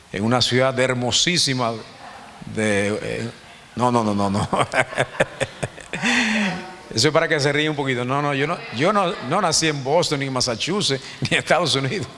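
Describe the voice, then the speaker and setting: A man's voice: medium pitch, a bit bright. Dominican man in his fifties, reverberant hall. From Dominican Republic. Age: 50s. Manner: conversational, joking, asks the congregation "¿verdad?". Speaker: church teacher. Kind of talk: informal Sunday teaching. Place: church hall.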